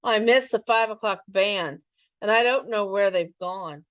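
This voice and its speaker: A woman's voice: mid-range voice, measured pace, no room sound, close to an everyday voice. A woman voicing an earnest, easily discouraged young boy in a children's storybook read-aloud. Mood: downcast, worried.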